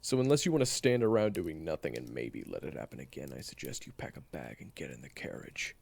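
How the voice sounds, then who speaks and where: A man playing a gruff, blunt, impatient monster hunter with a gravelly voice in a fantasy audio drama. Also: rumbling low, big pitch swings, fast talker, a little mellow, dry recording, exaggerated voice. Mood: scornful, pushy. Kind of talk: fantasy audio roleplay acting.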